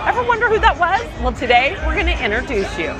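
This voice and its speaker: Lively high-voiced woman hosting. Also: fast, over a noisy crowd, low-quality recording. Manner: enthusiastic, gushing. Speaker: interviewer and host. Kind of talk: upbeat on-location interview. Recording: music playing behind the voice.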